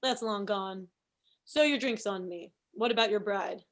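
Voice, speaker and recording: An actress playing a brisk, cheeky but generous bartender in a comedy table read. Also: female voice, medium pitch, lightly acted.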